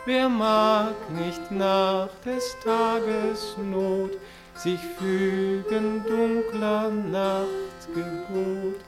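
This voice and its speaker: A woman's voice: medium pitch, extremely slow, over music. A woman playing an eager, lovestruck, melancholy young man in a radio drama, earnest and lyrical. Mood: mournful. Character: eager, lovestruck, melancholy young male poetry-loving singer. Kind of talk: radio drama acting with sung verse.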